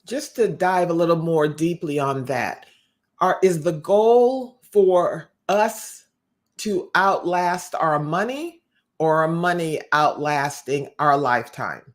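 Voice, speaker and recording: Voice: medium pitch, lively intonation, slow pace. Thoughtful interviewer with careful phrasing. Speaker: interviewer, host. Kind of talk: interview questions.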